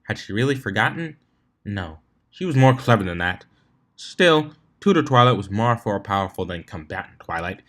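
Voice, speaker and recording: A man's voice: lowish voice, big pitch swings, moderate pace, fairly bright tone. Man narrating a story, stumbling now and then. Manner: stumbles over words and rereads them. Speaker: fan fiction reader, narrator.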